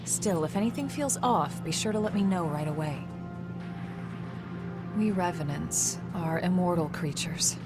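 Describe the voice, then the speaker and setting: A woman playing a calm, caring medic in a video game cutscene, speaking in a gentle, reassuring, clinical way. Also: fairly low pitch, a little slow. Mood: earnest, explaining. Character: calm, caring female medic tending a revived immortal soldier. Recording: music loud behind the voice. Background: loud game music.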